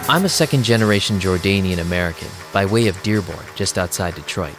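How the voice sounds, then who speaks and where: Deep-voiced, jaded young vampire DJ played by a man. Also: quick pace, over loud music, close to an everyday voice. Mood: wry narration. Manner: wry, slangy. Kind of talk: first-person audio drama narration and dialogue.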